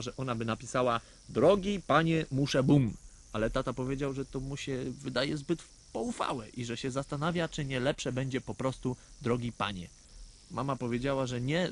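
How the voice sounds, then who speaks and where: A man's voice: mid-range voice, slight background noise, natural voice. A man playing a naive, earnest little boy who tells his own story in a radio play. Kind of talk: scripted first-person storytelling in a radio play.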